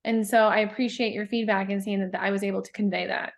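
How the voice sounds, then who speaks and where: A woman poet talking in a casual interview, thoughtful and halting, with many fillers and restarts. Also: medium pitch, flat delivery, vocal fry. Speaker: guest poet.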